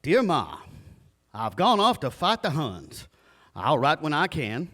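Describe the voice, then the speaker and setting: A man acts an earnest young adventurer voicing his letters home in a stage audio play. He is plain-spoken and declamatory, in a slightly high voice. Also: wildly swooping pitch, takes it slowly, fairly bright tone, full character voice. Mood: eager. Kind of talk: live stage audio drama.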